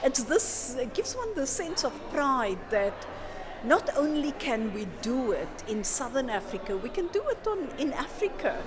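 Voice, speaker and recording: A woman's voice: high-pitched, very animated, measured pace. A woman speaking in an inspired, rousing way in an event interview. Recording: crowd noise behind.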